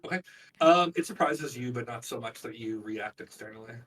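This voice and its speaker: A man running a tabletop game as game master, improvising narration in animated, conversational storytelling. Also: medium pitch, fairly quick, slightly rough, low-quality recording.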